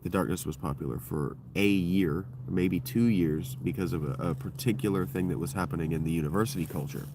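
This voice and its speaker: Man, very deep voice, livestream host, rambling, swears casually, faint background hum. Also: fairly quick, few pauses. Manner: digressive, loose and profane, circling back on thoughts. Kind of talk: rambling solo livestream monologue.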